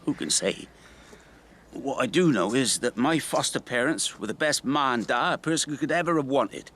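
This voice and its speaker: An actor playing a guarded, gentle older village uncle in a radio drama, hesitant and repeating himself. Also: male voice, medium pitch, lively intonation, moderate pace. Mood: wistful, evasive. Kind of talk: naturalistic radio drama acting.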